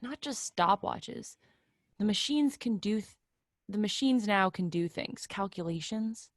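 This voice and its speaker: An actress playing a tough, masculine-styled twenty-five-year-old in a play reading, blunt, clipped and questioning. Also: female voice, mid-range voice, lively intonation, measured pace, close to an everyday voice. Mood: pressing. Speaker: actor. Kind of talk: remote play reading, naturalistic casual dialogue.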